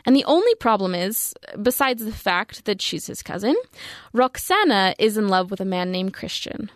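A woman's voice: medium pitch, very animated, normal speed, dry recording. A young woman student presenting her book review in a small, dry college radio studio. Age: 20s.

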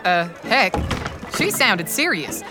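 A woman's voice: mid-range voice, very animated, music in the background. A woman voicing a nervous sidekick to a traveling con man in a musical radio drama. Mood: wary. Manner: wary, quick to hedge. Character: nervous con man's sidekick, wants to flee.

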